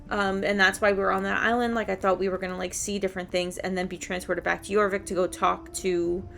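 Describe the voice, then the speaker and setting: A woman giving casual, expressive gameplay commentary, chattily reacting aloud to the game as she plays. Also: medium pitch, gravelly, slight background noise. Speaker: video game streamer.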